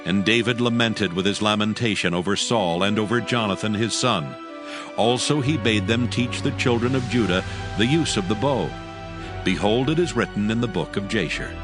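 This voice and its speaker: Low voiced guy narrating bible passages, steady and measured, faint music. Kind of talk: scripted scripture narration. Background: faint background music.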